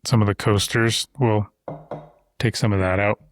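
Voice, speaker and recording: A man's voice: on the low side, fairly even intonation, normal speed, no echo, faint music behind. A man hosting a podcast in a casual conversation, talking at length with loose asides on a close studio mic. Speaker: podcast host. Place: insulated shop-building studio.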